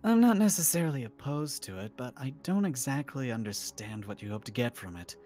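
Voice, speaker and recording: Measured man with a mid-pitched voice. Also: expressive, warm tone, soft background music. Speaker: tabletop role-playing game player. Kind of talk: in-character roleplay and table chat.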